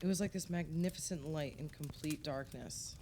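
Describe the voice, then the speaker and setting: An actress playing an affectionate, mildly skeptical woman, gentle and reflective, in conversational radio play dialogue. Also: sits low, normal speed, slight background noise. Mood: wondering.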